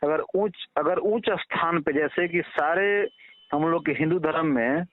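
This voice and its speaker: Bihari male, fairly high voice, persuasive and respectful in casual talk, dry telephone-quality recording. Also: normal speed, dry recording. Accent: Bihari Hindi. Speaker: father appealing to a reporter. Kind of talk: casual phone conversation. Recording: tinny, narrow-band phone call recording.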